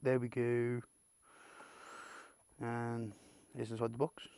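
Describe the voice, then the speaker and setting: A man's voice: mid-range voice, monotone, slow pace, creaky, mellow, clear, resonant tone, no echo. A British man giving a casual, unscripted product unboxing, rambling and muttering asides to himself with the odd mild swear. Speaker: amateur video creator presenting an unboxing.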